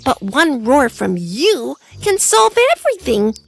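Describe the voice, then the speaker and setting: Tiny cartoon mouse, extremely high-pitched, slow and lilting, timid but earnest and polite. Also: very animated, creaky, loud music behind the voice, full character voice. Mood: pleading. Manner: hesitant, earnest, deferential. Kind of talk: children's animated storybook voice acting.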